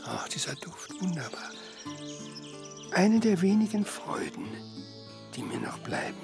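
Frail old wistful scholar guy, radio play, creaky and really drawn out, halting. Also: sits high, sing-song, music in the background, heavily acted. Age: older. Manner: halting, deliberate, measured. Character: frail, wistful old retired botanist and grieving father. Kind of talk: radio drama acting.

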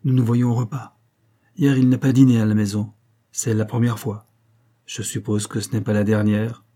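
A man's voice: on the low side, level tone, moderate pace, mellow, close to an everyday voice. A man voicing a proud young actress wife in a storybook reading, impatient, mocking and bitter. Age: young adult. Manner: railing, vehement, impatient.

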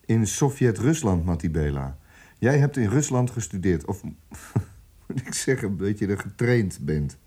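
Male police inspector for radio drama: menacing, condescending, deliberate, with a lively, swinging pitch range. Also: husky, full character voice. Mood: cool, pressing. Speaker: police interrogator.

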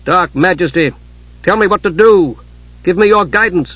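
A man's voice: fairly high pitch, normal speed, fairly bright tone, dry recording, lo-fi sound. Man playing an earnest young knight, dry sound. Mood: pleading. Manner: solemn, intense. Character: earnest, honor-bound young male knight, troubled and proud.

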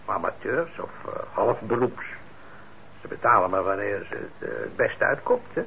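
Unflappable old shopkeeper, creaky, lively pitch. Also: male voice, moderate pace, heavily acted. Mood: patient. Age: older. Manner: patient, hesitant, soft-hearted. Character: mild, unflappable old music shopkeeper and father. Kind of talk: Dutch radio play acting. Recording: dull, noisy old radio recording.

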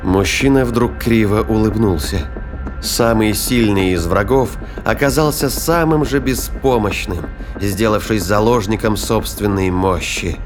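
A man reading scripted dramatic narration for an audio drama in measured, literary phrasing. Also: fairly low pitch. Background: music.

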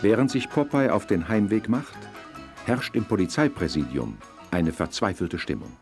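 A man's voice: on the low side, animated, moderate pace, music in the background. A man narrating a scripted children's radio play as storyteller.

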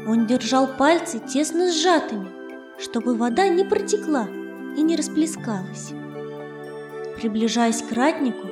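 A woman narrating a scripted children's story in a measured, expressive storytelling manner. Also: high-pitched. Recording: echoey studio, faint music behind. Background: faint music.

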